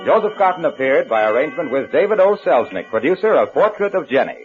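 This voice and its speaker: A man working as a radio announcer and pitchman, delivering scripted 1940s-style commercial copy. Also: very animated, fast talker, soft background music. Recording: dull vintage radio broadcast.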